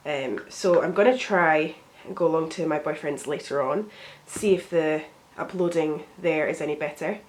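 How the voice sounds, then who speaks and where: A woman's voice: low voice, normal speed, hardly any pauses. Sniffly young woman chatting while doing makeup. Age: young adult. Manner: rambling, frequent ums. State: sniffly with a cold. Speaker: beauty vlogger. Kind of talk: chatty get ready with me.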